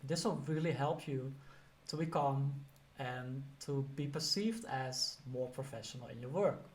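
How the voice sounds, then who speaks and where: A man's voice: medium pitch, unhurried. A man with a Dutch accent giving casual career advice, talking loosely with lots of "you know" and "like". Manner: chatty, many fillers like "you know" and "like". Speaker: career coach. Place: lives and works in the UK.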